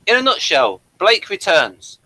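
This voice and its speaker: A man's voice: high voice, wildly swooping pitch, moderate pace, bright, forceful tone, no echo, rough recording. A man hosting a casual review of a TV series, reading from notes with dry, playful asides.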